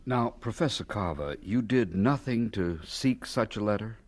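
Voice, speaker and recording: A male radio announcer reading scripted narration. His voice is fairly low with lively, sweeping intonation, over faint music. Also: normal speed.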